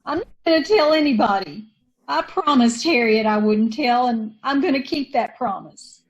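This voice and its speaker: A woman's voice: fairly high pitch, normal speed, dull audio, natural voice. An actress playing a bitter, resentful poor woman shielding her sister, in an old-time radio drama, with folksy ungrammatical speech. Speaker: radio actor.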